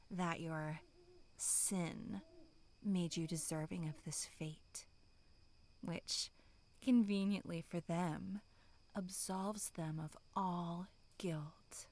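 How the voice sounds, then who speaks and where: A woman voicing a bloodthirsty female demonic monster in a breathy, menacing whisper for a horror ASMR roleplay, sinister yet tender. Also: fairly low pitch, darkish tone. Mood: coaxing, sinister. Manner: slow, drawn-out, menacing whispering.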